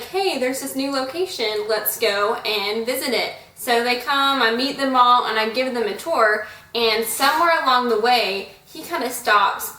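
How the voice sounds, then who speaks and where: A woman's voice: on the high side, pushed and bright, roomy sound, far from the mic, low-quality recording. A young woman vlogger telling a story in a chatty, giggly way. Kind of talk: casual storytelling chat.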